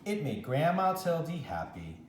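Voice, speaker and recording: A man reading a children's story aloud as a teacher, expressively and with dramatic pauses. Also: a little high, very animated, roomy sound, a little hiss or hum. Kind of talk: animated read-aloud storytime narration.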